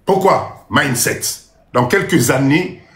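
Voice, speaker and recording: A man's voice: on the high side, slow, creaky, a bit bright, reverberant. A man with a Congolese accent talking insistently in a live stream, full of rhetorical questions. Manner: insistent, rhetorical questions, mixing French and Lingala. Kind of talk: emphatic live-stream monologue.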